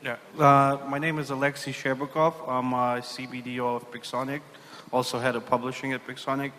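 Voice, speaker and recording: Flat-voiced man on a panel. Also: medium pitch, brisk. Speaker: panelist, head of publishing. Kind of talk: conference panel talk. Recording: hall reverb with faint room noise.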